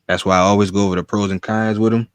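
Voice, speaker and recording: A man with a lowish voice hosts a podcast, talking loosely in street slang and telling a story as he goes. His voice is dry and close to the mic. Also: flat delivery, quick pace, dry recording. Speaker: podcast host. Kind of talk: casual podcast interview.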